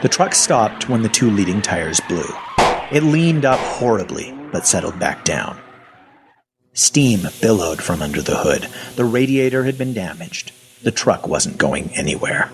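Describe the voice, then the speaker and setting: A man's voice: fairly low pitch, natural voice. An actor playing a wry, self-deprecating survivor of a zombie apocalypse, telling his story in a folksy, sardonic first person. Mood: tense, wry. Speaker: actor narrating an audio fiction story. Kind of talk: first-person storytelling, dramatic audio fiction.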